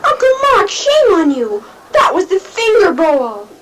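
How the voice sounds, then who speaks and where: A woman's voice: very high-pitched, wildly swooping pitch, unhurried, exaggerated voice. A woman playing a perky, earnest schoolgirl niece in a 1950s radio sitcom, on a noisy old recording. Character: perky, eager schoolgirl niece keeping house for her uncle.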